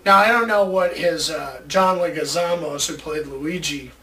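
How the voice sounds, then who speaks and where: Male co-host, high bright voice, enthusiastic rambling chat, distant mic with faint noise. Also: normal speed. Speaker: show host. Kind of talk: casual chat about movies.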